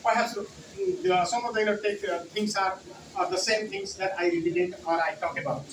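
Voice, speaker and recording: A man's voice: high-pitched, moderate pace. A man with a Nepali accent giving a conference talk on English teaching, with many "you know" fillers and repeated key words. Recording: roomy hall from far off, noisy.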